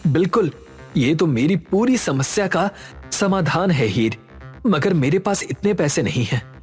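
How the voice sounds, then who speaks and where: A man voicing an earnest, caring young village schoolteacher in an animated story, with an explanatory manner. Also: a little high, measured pace, slightly rough, faint music behind. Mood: grateful. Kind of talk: Hindi animated story voice acting.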